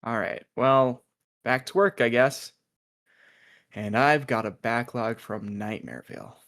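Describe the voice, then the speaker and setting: A man acts out an easygoing agent in a radio-show table read. His mid-pitched voice is friendly and wry, with a teasing, buddy-like lilt. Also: a little slow, fairly bright tone. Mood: friendly placating. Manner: wry, upbeat, placating. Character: easygoing, chummy male agent, teasing coworker. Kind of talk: audio drama table read.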